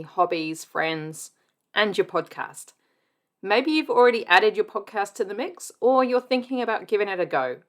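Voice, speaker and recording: A woman's voice: lowish voice, fairly bright tone. A woman hosting a podcast, opening the show and guiding a guest with questions. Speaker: podcast host. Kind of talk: podcast intro and interview.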